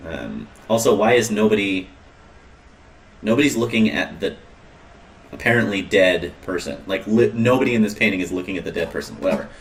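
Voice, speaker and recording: A man's voice: on the low side, lively intonation, fairly quick, distant mic. A man casually commentating on a game he is playing, rambling and joking, with lots of ums and uhs. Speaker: let's play host.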